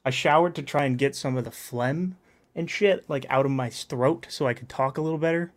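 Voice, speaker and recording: A man's voice: mid-range voice, fairly quick. A man chatting casually in an upbeat, enthusiastic way, like a host talking with friends. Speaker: podcast host and game master. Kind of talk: casual chat.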